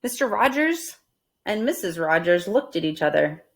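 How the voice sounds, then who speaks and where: A woman reading a children's storybook aloud at storytime, expressive and deliberate in her phrasing. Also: on the low side, slight background noise. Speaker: storytime reader.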